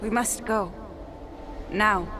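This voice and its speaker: A woman's voice: mid-range voice, drawn-out words, background noise. A woman playing a grave, foreboding warrior in a video game, ominous and terse. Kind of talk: video game cutscene acting.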